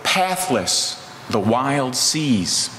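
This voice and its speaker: A middle-aged American man, a minister, speaking from a pulpit with measured, deliberate delivery. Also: medium pitch, very animated. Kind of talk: introducing and reciting poetry at a pulpit. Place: large wood-panelled church, indoors. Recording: echoey church hall, loud background noise.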